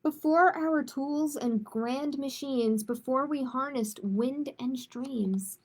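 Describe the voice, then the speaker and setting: Lady with a highish voice, takes it really slow, storytime host talking to little kids and families. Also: a little mellow, a little hiss or hum. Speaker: children's librarian hosting storytime. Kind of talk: picture book read-aloud, then casual announcements.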